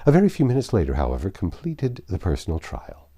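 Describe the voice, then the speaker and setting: A man reads classic fiction as a narrator, in a very low, mellow voice. His delivery is expressive, with lively rises and falls through the scripted prose. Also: fast, husky. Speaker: audiobook narrator.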